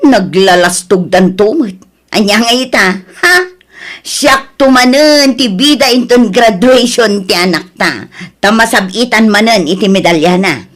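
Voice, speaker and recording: A woman's voice: mid-range voice, animated, unhurried, close to an everyday voice. A woman with an Ilocano accent playing a boastful, scolding mother who mocks the neighbours' children in an audio drama. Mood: boastful, mocking. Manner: haughty, scolding, gossipy.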